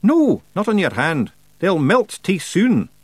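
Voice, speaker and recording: A man's voice: sits high, huge pitch swings, takes it slowly, husky, dry recording, pitched above the performer's own voice, heavily acted. A man voicing a cheeky, earnest tiny male fairy clansman with a Scottish accent in an audiobook. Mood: urgent, helpful. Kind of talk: audiobook character voice.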